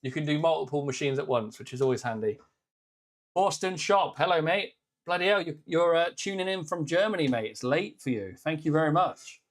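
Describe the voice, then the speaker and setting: A British man with a naturally loud voice, hosting a casual live stream demo and chatting warmly with viewers. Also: on the high side, a little fast. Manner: chatty, upbeat, greets viewers by name. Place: workshop with laser engravers.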